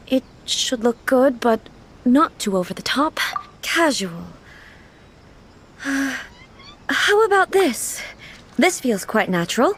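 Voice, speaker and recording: Dignified, high-voiced ruler goddess. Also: female voice, a little hiss or hum. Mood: shy, uncertain. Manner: measured, formal, contemplative. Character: dignified, earnest, naive female ruler deity. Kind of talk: video game voice acting. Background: game music.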